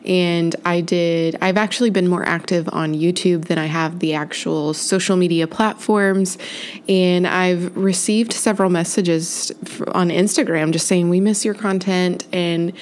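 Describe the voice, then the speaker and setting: A woman with a congested, stuffy voice gives a rambling solo podcast update. Her voice sits a little low, and she talks in a casual, chatty way that keeps circling back and correcting itself. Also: fairly even intonation, a little slow, a little mellow. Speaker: podcast host.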